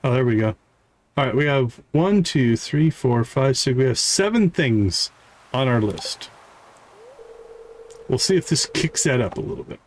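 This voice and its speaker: A man's voice: medium pitch, animated, measured pace, pauses often. A man livestreaming a video game, casually thinking aloud in short phrases as he plays. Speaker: live streamer, game host. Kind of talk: casual gameplay commentary, thinking aloud and reading game dialogue. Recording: music playing behind the voice. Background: game music.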